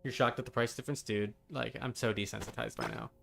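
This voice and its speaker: A man with a mid-pitched voice talks casually as he streams a game. He rambles in a wry, joking way. Noise and clicking can be heard behind him. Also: steady tone, quick pace, gravelly, a bit bright. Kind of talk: casual live-stream chat while gaming.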